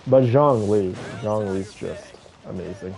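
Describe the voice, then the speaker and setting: Low, gravelly man commentating a game. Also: measured pace, dark tone. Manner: thinking aloud, talking to viewers. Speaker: game streamer playing and commenting. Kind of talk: casual gameplay commentary.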